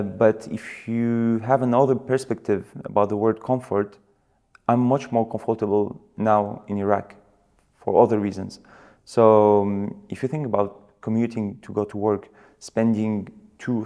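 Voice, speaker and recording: A young man from France, whose mother tongue is Suret, giving thoughtful interview answers and often pausing to search for words. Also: fairly low pitch, mellow. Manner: reflective, searching for words with many fillers. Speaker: guest, founder.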